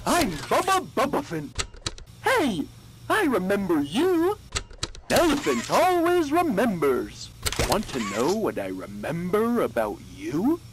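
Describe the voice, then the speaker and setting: Excitable, swooping cartoon animal mascot. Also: medium pitch, slow pace, heavy background noise. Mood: ominous. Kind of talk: animated toy voicelines.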